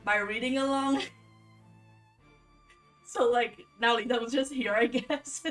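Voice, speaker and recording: A woman's voice: a little high, fairly bright tone, distant mic. Woman streamer, rambling, music behind her. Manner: informal, halting, rambling. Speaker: streamer reading folktales aloud. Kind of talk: casual livestream storytelling and commentary.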